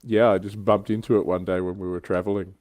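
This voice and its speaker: Low-voiced middle-aged Australian man. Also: little pitch movement, fast, darkish tone, no room sound. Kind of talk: casual chat, anecdotes.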